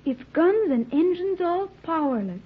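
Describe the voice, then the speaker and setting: A woman's voice: very high-pitched, big pitch swings, normal speed. An actress playing a solemn, naive, scientific teenage girl with formal, precise diction in an old-time radio serial. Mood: grave. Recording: muffled, narrow-band old radio recording.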